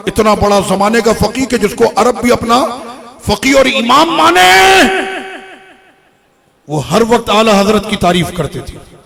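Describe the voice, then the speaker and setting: Guy giving a fired-up sermon, real high voice, kinda raspy fry on it. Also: fairly bright tone. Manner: impassioned, repetitive rhetorical build-ups. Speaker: Islamic preacher.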